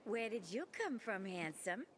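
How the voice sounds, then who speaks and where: An actress voicing a flamboyant, vain diva singer who talks about herself in the third person, in video game dialogue. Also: female voice, medium pitch, big pitch swings, unhurried, a little hiss or hum. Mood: theatrical.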